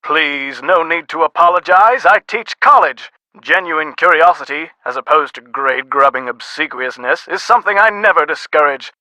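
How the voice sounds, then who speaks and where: A man's voice: fairly high pitch, expressive, moderate pace, sharp tone, no room sound, low-quality recording. A man playing an eager, courteous college professor and carousel expert in a video game, with a precise, wordy way of talking.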